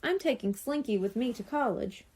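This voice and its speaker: A woman's voice: on the high side, animated, normal speed, darkish tone, slightly rough. A woman voicing a friendly, plain-spoken male petting zoo worker in a children's storybook. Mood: regretful.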